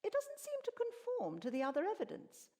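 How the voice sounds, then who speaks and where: A woman's voice: extremely high pitch, wildly swooping pitch, brisk, dark tone, exaggerated voice. A woman playing a proper, easily affronted wizard scholar in a fantasy video game, speaking formally and precisely. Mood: intrigued, analytical. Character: esteemed female wizard of Saradomin, proper and indignant scholar.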